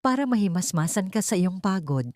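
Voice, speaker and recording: A woman voicing a soft-spoken, submissive wife who hides her hatred in an audio drama. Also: mid-range voice, animated, a little fast, no room sound, clean studio sound, natural voice. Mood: feigned meekness.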